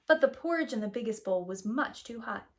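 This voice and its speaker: A woman reading a storybook aloud to young children as a preschool teacher, with expressive, emphatic storytelling. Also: fairly high pitch, fairly quick, slight background noise.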